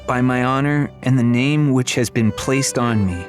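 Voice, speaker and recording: A male narrator puts on an earnest boy for a fantasy audiobook. The voice is raised in pitch, uneasy and urgent, with clear music underneath. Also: moderate pace, lightly acted. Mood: earnest, halting. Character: earnest, uneasy boy on a coming-of-age journey. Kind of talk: audiobook character voice.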